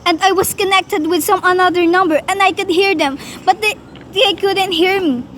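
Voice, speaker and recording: A woman's voice: very high voice, normal speed, fairly bright tone, slight background noise. A woman acting a nervous, frail and demanding wife in a student radio play, fretful and insistent. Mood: indignant, demanding.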